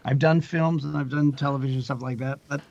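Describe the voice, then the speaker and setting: A man's voice: mid-range voice, steady tone, fairly quick, vocal fry, darkish tone. A man of about sixty giving casual advice as a podcast guest, rambling and self-deprecating, often repeating his words. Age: 60s. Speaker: podcast guest, actor and producer. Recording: dull lo-fi audio.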